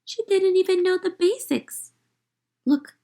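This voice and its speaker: A woman voicing an exasperated, embarrassed little girl in an animated, scolding storybook read-aloud. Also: very high-pitched, a little slow, vocal fry, pitched above the performer's own voice, exaggerated voice. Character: exasperated, embarrassed little girl narrating her mom's misbehavior.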